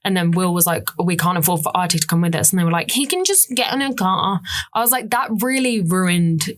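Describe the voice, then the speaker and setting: A young woman with a noticeably deep, low voice, co-hosting a casual podcast chat. She talks bluntly and rambles, with plenty of "like" and quick laughs. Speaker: podcast co-host.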